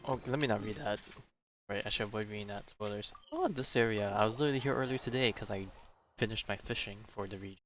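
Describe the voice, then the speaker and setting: A man's voice: on the low side, fast, fairly bright tone, lo-fi sound, a little hiss or hum. A man doing casual live gameplay commentary as a streamer, with offhand, wry asides. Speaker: game streamer. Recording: game music behind the voice. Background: game music.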